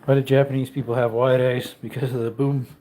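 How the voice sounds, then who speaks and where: A man's voice: flat delivery, brisk, mellow, rough recording. Man in his thirties, casual livestream host, rambling chatty delivery, home man cave, faint background hiss. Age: 30s. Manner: rambling, chatty, reads out viewer comments; high baby-talk when calling his dog.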